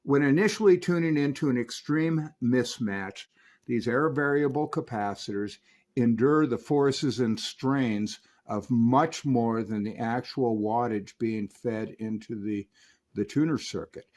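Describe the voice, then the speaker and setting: A man presents an equipment review and demonstration at a medium pitch. He explains technical details step by step, in a measured, explanatory manner, like a host walking viewers through a product. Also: a little mellow.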